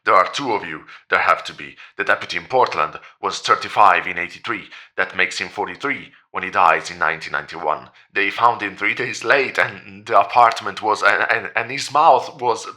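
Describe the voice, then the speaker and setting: A man's voice: low-pitched, moderate pace, hardly any pauses, bright, forceful tone. A man playing a terrified but defiant priest in a horror audio drama, stammering and breathless as he argues. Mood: panicked disbelief.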